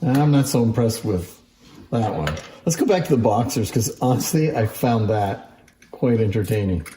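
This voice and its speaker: A man's voice: lowish voice, lively intonation, normal speed. A man giving a casual, unscripted hands-on demonstration as a presenter, speaking informally and with interest. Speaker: presenter demonstrating antique phonograph toys. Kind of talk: casual hands-on show-and-tell. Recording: roomy echo, faint background noise.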